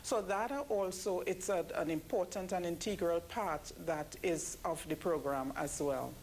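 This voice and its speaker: Lady explaining stuff in an interview, lowish voice, some background noise. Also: measured pace, a little mellow. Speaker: interview guest, event committee member. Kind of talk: interview answers. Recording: clearly noisy background ambience.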